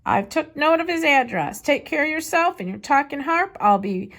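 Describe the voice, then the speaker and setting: Woman reading as a cheeky, high-pitched small boy. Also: lively intonation, moderate pace, fairly bright tone. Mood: cheerful, cheeky. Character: small boy Jack, cheeky, chatty postcard writer.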